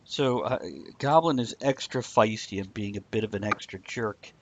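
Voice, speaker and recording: A man's voice: fairly low pitch, normal speed, dull audio. A man co-hosting a live stream show, chatting casually with sarcastic, rambling jokes. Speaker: podcast co-host. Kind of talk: casual chat.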